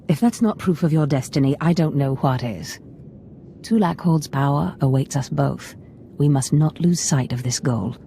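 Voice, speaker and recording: An actress playing a scheming, ambitious female Sith lord in a video game, speaking in a measured, imperious, portentous manner. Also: deep voice, dark tone, a little hiss or hum. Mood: resolved, commanding. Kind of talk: scripted video game dialogue.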